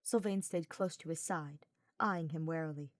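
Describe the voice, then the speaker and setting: Casting: female audiobook reader, lower register, scripted fiction narration, dry close sound. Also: normal speed, no room sound.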